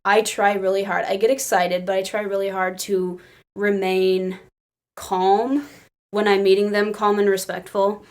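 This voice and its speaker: Giggly woman podcast cohost w a medium pitch, rambling through stories, says like a lot. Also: unhurried. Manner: excitable, giggly, peppers talk with "like". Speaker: podcast co-host. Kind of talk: casual anecdotal storytelling.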